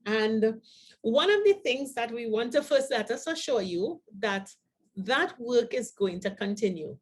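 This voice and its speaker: Slow-paced older woman presenting, higher voice. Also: lively intonation. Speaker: co-host of a show for the over-fifties. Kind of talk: talk show presenting to viewers.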